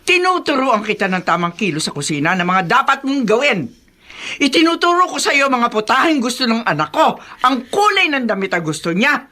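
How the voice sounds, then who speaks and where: A woman's voice: on the high side, very animated, fairly quick, bright, forceful tone. A woman playing a domineering, fault-finding elderly mother-in-law in a confrontational radio drama style. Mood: stern, defensive. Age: older. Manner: confrontational, interrogating. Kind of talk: Tagalog radio drama acting.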